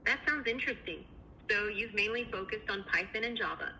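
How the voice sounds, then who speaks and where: A woman with a very bright, forward voice, conducting a screening interview. She speaks quickly in a polite, polished, affirming way. There is faint noise on the phone call. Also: mid-range voice. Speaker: AI recruiter interviewer.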